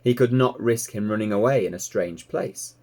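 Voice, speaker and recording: A man narrating a children's story in an expressive read-aloud style. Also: on the low side, moderate pace. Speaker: storybook reader, teacher.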